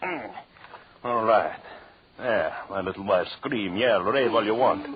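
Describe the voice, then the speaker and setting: An actor playing a jealous, murderous husband in an old radio drama, with a theatrical, mocking menace and formal phrasing. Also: male voice, lowish voice, a little slow, a crowd in the background, natural voice. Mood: mocking, gloating. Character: vengeful, jealous husband, cruelly gloating murderous anthropologist. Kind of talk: 1940s radio drama acting. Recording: dull, lo-fi old radio recording.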